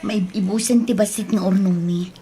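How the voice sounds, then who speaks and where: A woman's voice: mid-range voice, fairly even intonation, normal speed, warm tone, lightly acted. An actress with an Ilocano accent playing an anxious, pleading wife and mother in a radio drama. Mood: worried. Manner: fretful, pleading. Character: anxious wife and mother fretting over money. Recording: clear background ambient noise.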